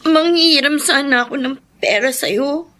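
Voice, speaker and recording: A woman's voice: high-pitched, normal speed, sharp tone. A woman playing an earnest, timid young working daughter in a radio drama, pleading politely. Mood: shy, earnest. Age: young adult.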